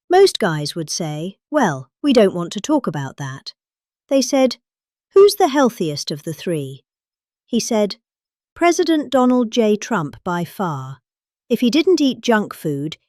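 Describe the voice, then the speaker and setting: British woman, middle-aged, very lively intonation, theatrical read-aloud, conversational phrasing, dry studio-like sound. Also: fairly high pitch, no echo. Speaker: host reading a political speech aloud. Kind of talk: dramatic read-aloud of a speech transcript.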